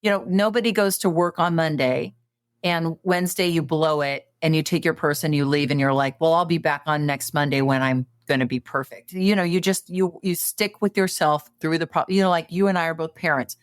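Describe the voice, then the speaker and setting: A woman's voice: low-pitched, a little fast, no echo, studio quality. A woman in her late fifties, a podcast guest giving coaching advice in a conversational way, full of fillers and restarts. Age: 50s. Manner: animated, many fillers and restarts.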